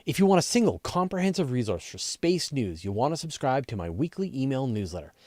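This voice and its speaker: A man's voice: medium pitch, sing-song, fairly quick, darkish tone, no room sound, studio quality. A man hosting a live question and answer show, explaining things in a casual, conversational way and thinking aloud as he goes. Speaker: host of a space and astronomy question show.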